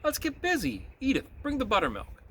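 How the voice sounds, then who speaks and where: A man voicing a brisk, cheerful, bossy farmer in a storybook, hearty and commanding. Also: very high voice, wildly swooping pitch, fairly bright tone, a little hiss or hum, voice pushed up, full character voice.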